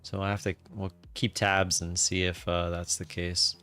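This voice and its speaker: A man in his late thirties with a fairly low, clean and resonant voice hosts a live stream. He rambles in a relaxed way and reads viewer comments aloud. His talk is full of "uh" fillers and restarted sentences. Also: moderate pace, smooth, faint music behind. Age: 30s.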